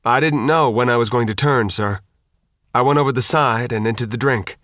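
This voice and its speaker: A man's voice: mid-range voice, fairly quick, a bit bright, dry recording, clean studio sound, close to an everyday voice. A man voicing an earnest, formal young Marine lance corporal in an audiobook, with precise, barked military speech. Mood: anxious, defensive. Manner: barking, precise military address. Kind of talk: audiobook character dialogue.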